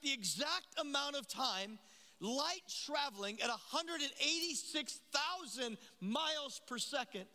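A middle-aged man preaching a sermon as pastor on a large church stage, impassioned, slowing and dropping low when he reads scripture. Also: pushed and bright. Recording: live church hall reverb.

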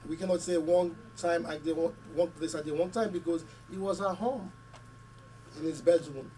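A hoarse man with a cold speaks earnestly from a podium, setting his words out in short phrases with pauses. His voice sits a little high for a man. The mic picks him up from some distance, with faint room noise under it. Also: dark tone. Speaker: school committee member. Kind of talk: community meeting speech. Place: community meeting room. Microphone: podium mic, camera at a distance.

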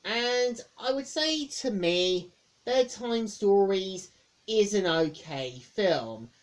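A woman reviewing a film in a casual monologue, speaking haltingly and often repeating words. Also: medium pitch, expressive.

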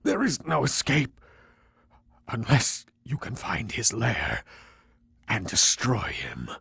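A man playing a solemn, portentous mystic guide in a video game, speaking in a measured, husky rasp. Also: mid-range voice, wildly swooping pitch, sharp tone, no echo, exaggerated voice. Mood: solemn warning. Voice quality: raspy, husky. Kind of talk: video game dramatic acting.

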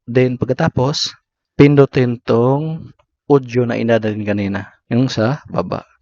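A man's voice: medium pitch, fairly even intonation, unhurried, a little mellow, no echo. Filipino man narrating a dull-sounding tutorial. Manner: instructional, short segmented phrases. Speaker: tutorial host. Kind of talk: step-by-step app tutorial narration. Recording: slightly dull lo-fi voice recording.

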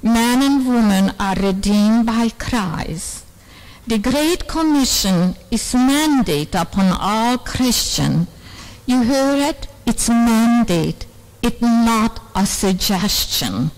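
A woman's voice: fairly high pitch, animated, slow, a little mellow. An older woman from Serbia gives an earnest speech to a women's conference in a large hall. She speaks into a microphone on a stand at a lectern and tells her story in non-native English.

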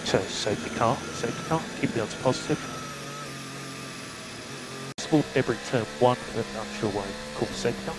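A man's voice: mid-range voice, moderate pace, pauses often. A man working as a race engineer, giving terse, matter-of-fact instructions over motor racing team radio. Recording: noisy car radio transmission.

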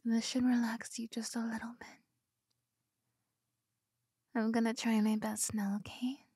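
Soft-whispering young woman, ASMR. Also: on the high side, level tone, a little slow, breathy edge, no room sound, clean studio sound. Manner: whispering, giggly, affectionate. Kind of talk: ASMR roleplay. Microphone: close binaural mic.

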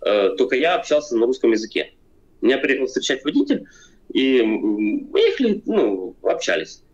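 A man's voice: medium pitch, sing-song, moderate pace. A man in his forties from Ukraine, talking from an armchair at home over a video call, hesitant and full of fillers. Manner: hesitant, many fillers, repeats himself. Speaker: video chat guest. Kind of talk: casual debate over video chat. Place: home room, seated in armchair. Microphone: webcam on video call. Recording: dull lo-fi video call audio.

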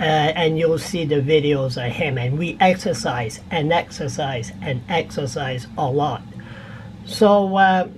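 A man's voice: high voice, darkish tone, slight background noise, low-quality recording. An older man chatting casually as a radio phone-in caller, rambling and repeating words for emphasis. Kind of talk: casual chat. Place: indoor room at home.

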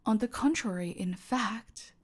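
An actress playing a menacing, silky, aristocratic cartel boss in a roleplay audio drama, condescending and deliberate, often sinking toward a whisper. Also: female voice, medium pitch, husky. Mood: smug menace.

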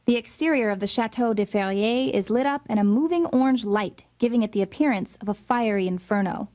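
A woman's voice: mid-range voice, darkish tone. A woman reading a scripted voice-over narration in a dramatic, insinuating way.

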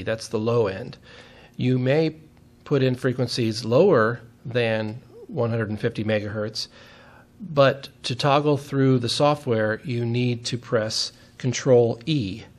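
Methodical man giving a how-to. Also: mid-range voice. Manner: methodical, plain-spoken. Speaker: instructor giving a tutorial. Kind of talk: step-by-step technical demonstration.

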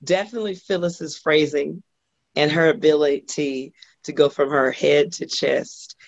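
Husky middle-aged woman on a lo-fi call. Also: low voice, fairly even intonation, measured pace, dry recording. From Los Angeles, USA. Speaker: guest singer. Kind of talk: interview on a video call. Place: home room indoors. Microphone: video call. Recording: dull lo-fi video call audio.

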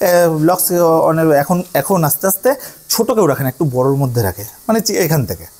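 Animated, high-pitched man teaching. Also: fast talker. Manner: repeats phrases, often checks understanding. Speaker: hairdressing instructor. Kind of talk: hands-on tutorial to trainees.

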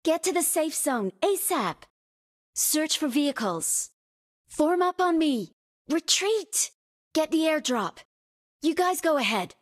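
A woman's voice: sits high, big pitch swings, slow pace, exaggerated voice. A woman voicing a female battle-royale squadmate in a shooter game, giving short, clipped tactical callouts. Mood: urgent, commanding. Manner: clipped, isolated command phrases. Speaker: game voice-pack actor.

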